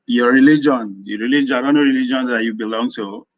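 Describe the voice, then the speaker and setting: A man's voice: mid-range voice, fast, no room sound, muffled recording. A Nigerian man calling in to a live audio discussion, arguing in a rambling way that often repeats words. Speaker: caller.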